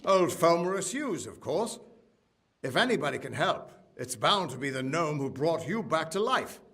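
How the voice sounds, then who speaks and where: An actor playing a chummy, streetwise ally in a fantasy game, talking in a casual, encouraging way. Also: male voice, a little high, big pitch swings, moderate pace, a bit bright. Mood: friendly, confident. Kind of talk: scripted fantasy game acting.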